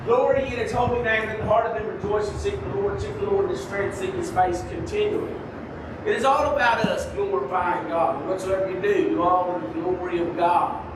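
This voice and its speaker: High-voiced soft-spoken preacher in echoey church. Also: male voice, fairly even intonation, a little fast. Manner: soft-spoken preaching, holds his voice down on the mic. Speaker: lay preacher. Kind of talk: sermon. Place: church sanctuary. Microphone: microphone. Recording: echoey church, distant mic, background noise.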